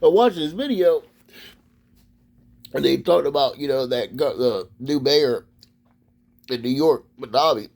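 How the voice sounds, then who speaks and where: A man's voice: medium pitch, lively intonation, measured pace, creaky, long pauses, a little mellow. A man from Maryland, USA, delivering a profane, rambling casual monologue as a vlogger.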